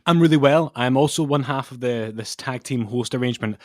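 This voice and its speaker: Relaxed, rambling podcast co-host. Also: male voice, mid-range voice, fairly quick. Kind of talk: casual chat. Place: home study.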